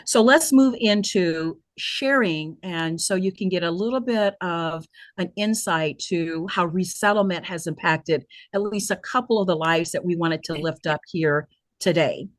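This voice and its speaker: A woman hosting an online town hall in a semi-prepared address, speaking earnestly and deliberately with some halting phrasing. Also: fairly low pitch. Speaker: nonprofit leader hosting a virtual town hall.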